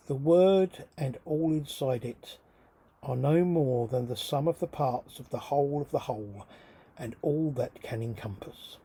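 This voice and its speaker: A man with a husky, rough-edged voice reading his own writing as a theatrical, brooding spoken-word monologue, delivered deliberately and dramatically. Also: fairly high pitch, warm tone. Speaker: writer reading own work.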